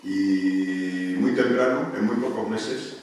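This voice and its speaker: A man's voice: lowish voice, takes it slowly, darkish tone, slight background noise. A man, giving a hesitant public talk full of fillers at a union meeting. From Argentina. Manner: hesitant, many restarts and fillers. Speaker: panel speaker. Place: meeting room at a union headquarters. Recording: echoey room, speaker far from mic.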